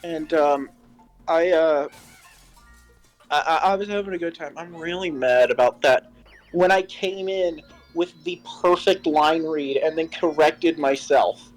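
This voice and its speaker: Rambling, halting co-host over background music. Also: medium pitch, rough recording. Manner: rambling, halting, animated joking. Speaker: co-host of a fan fiction reading show. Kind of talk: casual chat, critique. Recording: music playing underneath.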